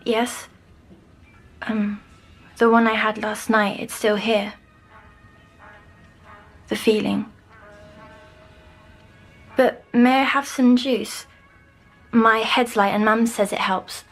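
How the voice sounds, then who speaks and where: A timid young girl in an audio drama, troubled by nightmares. Her voice is high and stays level, with halting, soft delivery. Music runs underneath. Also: little pitch movement. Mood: timid, uneasy. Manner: hesitant, halting, softly descriptive. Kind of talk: scripted audio drama acting.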